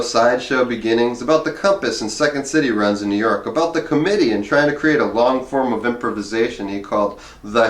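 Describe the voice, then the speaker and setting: A man reading a memoir aloud in a wry, conversational way, with casual asides. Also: medium pitch. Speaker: reader of a memoir. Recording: roomy, echoing room sound.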